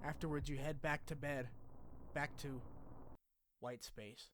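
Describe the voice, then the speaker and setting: A man's voice: fairly high pitch, fairly even intonation, unhurried, very noisy. A man narrating a video essay in a casual, scripted recap style, with wry, slangy asides. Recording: music under the voice. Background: music.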